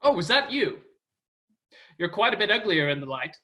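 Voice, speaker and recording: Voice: medium pitch, very animated, normal speed, pushed and bright. An actor playing a vain, pompous male superhero who admires his own looks. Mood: dismissive. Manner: pompous, preening.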